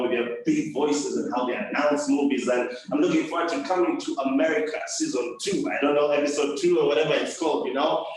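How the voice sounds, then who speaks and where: A man hosts a live event as master of ceremonies, playfully addressing the audience like a showman. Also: fairly high pitch. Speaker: master of ceremonies at a speech contest. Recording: very echoey room, distant microphone.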